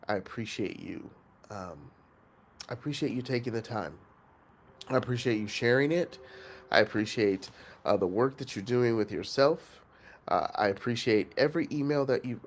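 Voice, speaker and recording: A man hosting a coaching challenge, speaking earnestly and reflectively with pauses and fillers, his voice nasal from congestion. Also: medium pitch. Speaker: host and facilitator of an online coaching challenge. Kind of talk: motivational coaching talk.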